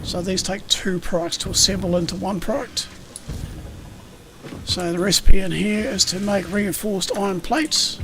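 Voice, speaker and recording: A man streaming a factory-building game, explaining it in a rambling way with a slightly croaky voice. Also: sits high, fairly even intonation, unhurried, background noise. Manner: rambling, self-correcting, explanatory. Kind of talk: casual gameplay explanation. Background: game music.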